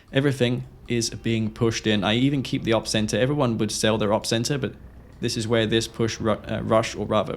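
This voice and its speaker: A man commentates his own strategy game in a medium-pitched voice. He has a sore throat as he talks through the play. Faint noise sits behind his voice. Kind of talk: gameplay commentary of own match.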